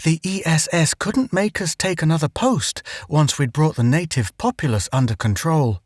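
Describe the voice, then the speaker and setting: A woman's voice: low voice, expressive, normal speed, darkish tone, no echo, studio quality, voice pushed up. A man voicing a bossy, scheming young woman explorer who is sweetly polite one moment and flustered the next. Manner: gushing, grandly polite, then flustered.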